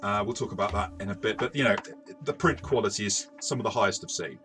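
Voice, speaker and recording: A man's voice: fairly low pitch, quick pace, over music. A man co-hosting a casual role-playing game review, talking in a hesitant way with many false starts.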